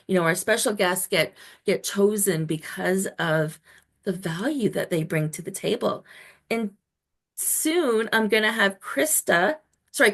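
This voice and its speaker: A woman's voice: medium pitch, creaky. Effusive woman podcast host in her fifties. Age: 50s. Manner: enthusiastic, effusive, informal. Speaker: show host and health coach. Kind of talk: chatty podcast interview hosting.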